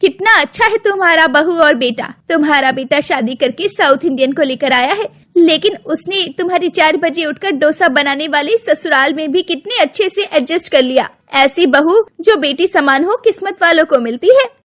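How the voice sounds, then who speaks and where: Woman voicing a gossipy older neighbor lady, self-pitying, complaining, cartoon story, high voice. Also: animated, moderate pace, fairly bright tone. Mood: admiring.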